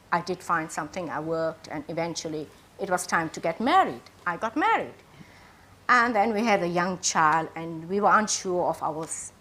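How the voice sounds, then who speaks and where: Measured woman from South Africa. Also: on the low side, a bit bright, slight background noise. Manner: measured, reflective storytelling. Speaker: panelist. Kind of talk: personal story at a panel discussion.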